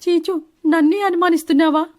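Cartoon wife bird, played by a woman, high voice, evasive, quick to protest and act wronged. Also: steady tone, darkish tone. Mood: indignant. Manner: defensive, protesting. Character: Chinnu, deceitful married female bird, indignant and evasive. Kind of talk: animated Telugu moral-story dialogue.

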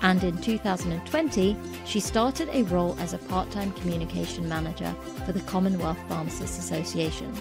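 A woman's voice: fairly low pitch, brisk, few pauses. A woman in her thirties hosting an interview, reading an enthusiastic introduction into a studio microphone. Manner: enthusiastic, warm emphasis. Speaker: podcast host. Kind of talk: scripted guest introduction and interview. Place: pink-lit home studio. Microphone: studio mic with headphones. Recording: music bed behind voice.

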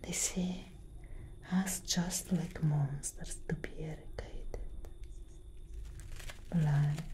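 A young woman playing a tender, protective elder vampire sister in a whispered ASMR roleplay, breathy and solemn, close to the microphone. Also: low-pitched, background noise. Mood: bitter, grave. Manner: slow, intimate, deliberate.

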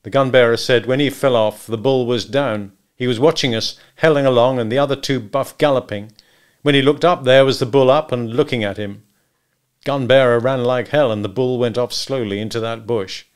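A man voicing a gruff, unflappable male hunter who talks in terse, hearty, clipped phrases. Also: mid-range voice, moderate pace, natural voice. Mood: brisk, gruff.

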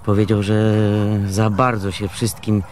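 An actor as an aggrieved former assistant, faint noise. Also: male voice, on the low side, level tone, measured pace, darkish tone, lightly acted. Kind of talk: radio play acting.

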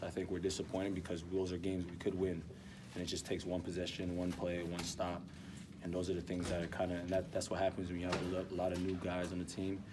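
A young man in his twenties, a college basketball player, answering postgame questions softly and hesitantly with many ums. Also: deep voice. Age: 20s. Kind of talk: postgame press interview. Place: indoor arena hallway with block walls. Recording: distant mic, background noise.

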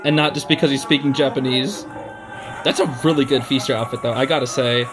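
A young man giving casual, excitable, slangy reaction commentary as a video host. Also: medium pitch, a little fast. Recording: music playing behind the voice. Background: stage show music.

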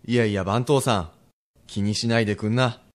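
A man playing a frank, steely Edo-era merchant husband with rough old townsman speech in a radio drama. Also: fairly low pitch, fairly even intonation, normal speed, close to an everyday voice. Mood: placating. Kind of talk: period radio drama acting.

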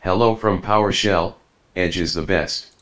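A low-pitched synthetic man's voice reads text in an American accent. It sounds robotic and mechanical, played back with a dull, muffled quality. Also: fairly even intonation, measured pace, a bit bright. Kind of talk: synthetic text-to-speech readout.

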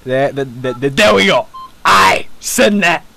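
A young man doing casual gameplay commentary, talking to himself in profane, slangy, excitable bursts. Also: a little high, unhurried, gravelly. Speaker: gamer. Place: at home at night. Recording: music behind the voice. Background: music.

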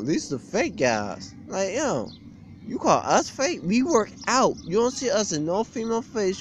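Young man ranting, animated and high-pitched. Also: slight background noise. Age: young adult. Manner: blunt, repetitive, aggrieved. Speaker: gym vlogger. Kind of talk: informal opinionated rant to camera. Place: outdoors beside a brick building on a street. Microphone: phone or webcam at arm's length.

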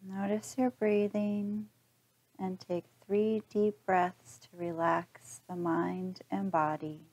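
A woman leading a guided body scan relaxation in a calm, gentle, instructional manner. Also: medium pitch, slow pace, rough recording. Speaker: meditation guide.

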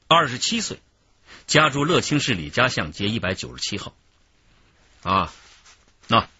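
An actor playing a stern, shrewd police interrogator in a radio crime drama, husky-voiced, lecturing with emphatic, measured authority. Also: male voice, lowish voice, sharp tone, lo-fi sound, heavily acted.

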